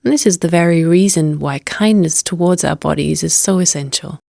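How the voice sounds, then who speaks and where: Woman guiding a meditation, slightly low voice. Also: vocal fry, dry recording, clean studio sound. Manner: gentle, unhurried, invitational. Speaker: meditation teacher. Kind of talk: guided meditation narration.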